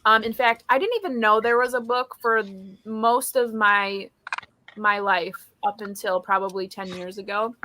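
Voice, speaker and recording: A woman's voice: medium pitch, steady tone, moderate pace, sharp tone. A woman in her thirties hosting a casual book live stream over a video call, chatting in an enthusiastic, informal way. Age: 30s. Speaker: host of a book readalong live show.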